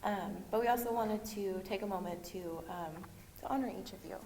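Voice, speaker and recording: A soft-voiced woman gives a halting, nervous speech, often pausing on ums. The room around her echoes and a steady noise sits under her voice. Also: mid-range voice, gravelly. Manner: hesitant, halting, with many ums. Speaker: nursing graduate giving a speech.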